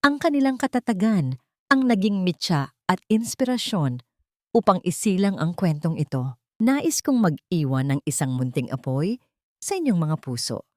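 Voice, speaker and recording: A woman with a Filipino accent narrates a scripted dramatic audio story in a solemn, sentimental way with deliberate phrasing. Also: deep voice, darkish tone, no echo, studio quality.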